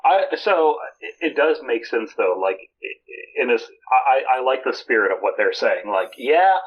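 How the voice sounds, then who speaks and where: A man co-hosting a podcast in casual, halting, animated banter with some swearing. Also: mid-range voice, husky. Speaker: podcast co-host. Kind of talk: casual comedic podcast chat. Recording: dull lo-fi voice audio.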